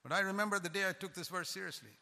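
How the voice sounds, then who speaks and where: A man's voice: high voice, racing, creaky, bright, forceful tone, in a large hall. A man who is a pastor, preaching a sermon with emphatic, repetitive cadence.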